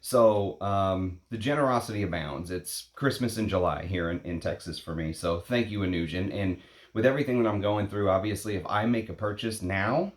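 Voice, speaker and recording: A man in his late thirties hosting a perfume vlog, talking casually in a rambling, opinionated way, blunt and quick to swear. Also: sits low. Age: 30s. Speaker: perfume channel host. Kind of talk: casual unscripted vlog talk. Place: at home in Texas.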